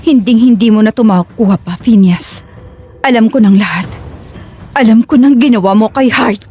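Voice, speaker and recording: A woman acts out a young female fugitive in a Filipino audio drama. Her voice is high and emotive, guarded as she keeps her anger hidden. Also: moderate pace, warm tone, husky, soft background music. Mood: defiant.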